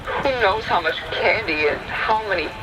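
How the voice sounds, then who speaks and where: A man's voice: sits high, moderate pace, very noisy. A man narrating a scripted first-person horror story. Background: rain and thunder ambience.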